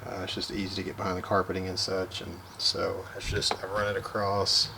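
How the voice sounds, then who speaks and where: A man casually walking through a vehicle install outdoors, relaxed and practical, with lots of fillers and restarts. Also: deep voice, a little fast, gravelly, noisy background. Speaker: vlogger. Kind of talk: casual how-to walkthrough.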